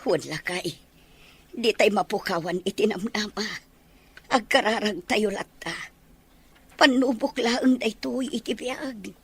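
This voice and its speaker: A woman playing a concerned, practical older relative in an Ilocano audio drama, with a husky voice. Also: mid-range voice, a little slow, long pauses. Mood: worried, resigned.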